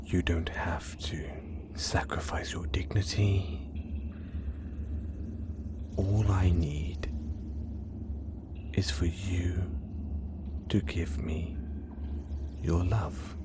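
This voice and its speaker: A man playing a scheming, obsessive male supervillain who speaks in a hushed, whispery voice, smooth and coaxing with a quiet threat underneath. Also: deep voice, steady tone, drawn-out words, pauses often. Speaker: villain in an audio roleplay. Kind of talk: audio roleplay acting.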